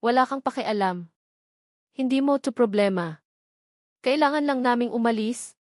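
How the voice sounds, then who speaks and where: A woman's voice: a little high, moderate pace, a little mellow, dry recording, studio quality, pitched above the performer's own voice, natural voice. A woman voicing a frightened, desperate young woman who pleads urgently in a dramatic story. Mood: panicked, pleading.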